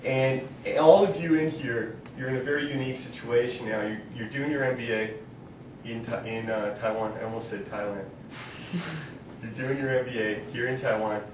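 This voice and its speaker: A man's voice: steady tone, moderate pace. A man from New York leading a seminar in a classroom, talking informally, joking and asking the students questions. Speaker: seminar leader. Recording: roomy classroom from a distance with background rumble.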